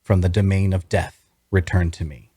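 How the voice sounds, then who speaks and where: A man's voice: deep voice, little pitch movement, moderate pace, dark tone, husky, dry recording, studio quality. A man playing a wry necromancer who narrates his own adventure, reading scripted first-person prose with dramatic storytelling. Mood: solemn incantation.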